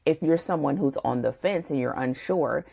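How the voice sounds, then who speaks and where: A woman's voice: deep voice, moderate pace, creaky, warm tone, husky. A woman co-hosting a podcast, discussing a book conversationally and thinking aloud in a discursive way. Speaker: podcast co-host.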